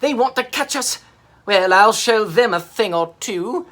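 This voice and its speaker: Loud, high, silly sea monster. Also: male voice, expressive, a little slow. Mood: defiant. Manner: loud, exaggerated. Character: silly, childlike, boisterous male sea monster. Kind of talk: children's storybook character reading.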